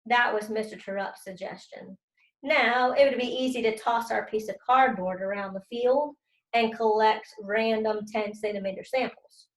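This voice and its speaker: Expressive woman teacher reading aloud, echoey room. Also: a little high, normal speed. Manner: expressive, stressing key words. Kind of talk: children's read-aloud with teaching commentary.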